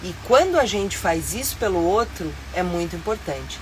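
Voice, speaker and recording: A woman's voice: lowish voice, animated, moderate pace, a little hiss or hum, low-quality recording. A middle-aged Brazilian woman with a stuffy, nasal voice from a cold, hosting a casual live stream from inside her motorhome. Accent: Brazilian Portuguese. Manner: chatty and upbeat, with frequent fillers. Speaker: live-stream host and tour guide.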